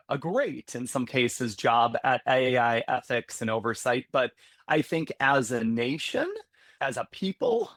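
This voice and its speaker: A man's voice: medium pitch, a bit bright, no room sound. Candid man thinking aloud, dry sound. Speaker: healthcare security executive, podcast guest. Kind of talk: conversational interview.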